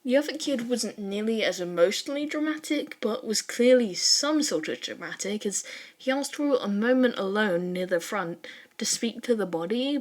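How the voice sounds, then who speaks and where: A woman with a mid-pitched voice narrates fan fiction like a homemade audiobook. Her reading is expressive and informal, with casual swearing.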